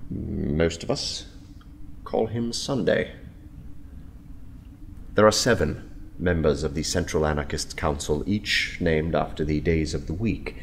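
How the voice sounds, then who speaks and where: An actor playing a boastful, fervent anarchist poet in a radio drama, theatrical and swinging from hushed to excited. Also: male voice, a bass voice, a little slow, gravelly, long pauses, a little hiss or hum, heavily acted. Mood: grave, secretive. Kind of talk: full-cast radio drama acting.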